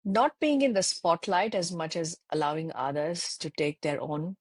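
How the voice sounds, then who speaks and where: Measured, husky-voiced woman guest. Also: lowish voice, dry recording. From India. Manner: thoughtful, measured, with many fillers. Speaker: interview guest, tech channel lead. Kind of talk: video call interview.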